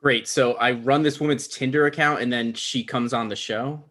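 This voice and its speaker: A man's voice: mid-range voice, fairly even intonation, moderate pace, a bit bright, lightly acted. A man playing an awkward, pedantic but well-meaning talk show host, mild and conversational, in a comedy table read. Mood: wary.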